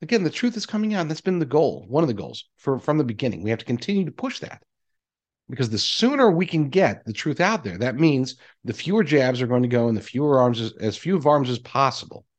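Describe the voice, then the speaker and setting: A man's voice: medium pitch, very animated, fairly quick. A man hosting a podcast, talking to his audience in a conversational, emphatic monologue. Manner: conversational, emphatic, voicing imagined replies. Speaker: podcast host.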